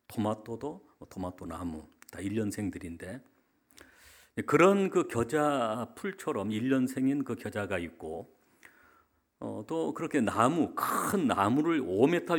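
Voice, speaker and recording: A man from South Korea preaching a church sermon as a pastor, with emphatic delivery. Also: mid-range voice.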